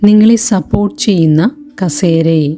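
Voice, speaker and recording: A woman leading a guided meditation in a gentle, calm, deliberate way, leaving long pauses between short phrases. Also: fairly low pitch. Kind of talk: slow guided meditation narration.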